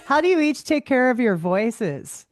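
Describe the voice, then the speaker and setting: A woman's voice: fairly high pitch, very animated, measured pace, soft background music. A woman podcast host who reads a promo and asks easygoing interview questions.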